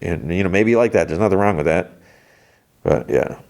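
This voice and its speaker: A man's voice: low-pitched, a little fast, a little mellow. A stuffed-up man hosting a podcast, rambling in casual chat about comics, with many restarts and trailing thoughts. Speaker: podcast host.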